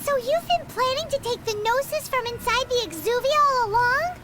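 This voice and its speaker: A woman voicing a tiny, childlike fairy companion in an anime-style game, squeaky, excitable and plucky. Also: moderate pace, very noisy. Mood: defiant. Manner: excitable, exclaiming.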